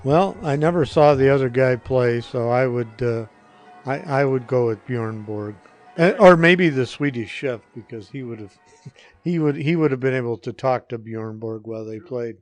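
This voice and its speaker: A man's voice: medium pitch, a little mellow, faint music behind. An older man giving casual, hesitant answers as a guest on a podcast. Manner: hesitant, halting.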